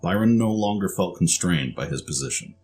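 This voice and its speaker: A man with a very low voice reads scripted story narration. He serves as the narrator of an audio drama, with soft music underneath. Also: expressive, brisk.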